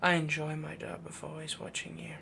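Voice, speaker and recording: Male actor as possessive young werewolf boyfriend, soft half-whisper, gravelly fry, subdued even pitch, cooing and dominant, intimate audio roleplay. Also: brisk, noisy background. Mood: obsessive, adoring. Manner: rambling, dominant, cooing endearments.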